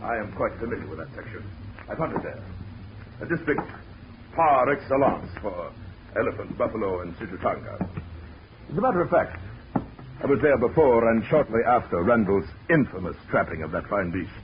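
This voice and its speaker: A pompous big-game hunter villain on old radio. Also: male voice, lowish voice, very animated, moderate pace. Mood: grandiose. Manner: pompous, florid and menacing. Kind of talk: 1940s radio acting. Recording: muffled old radio recording with hiss.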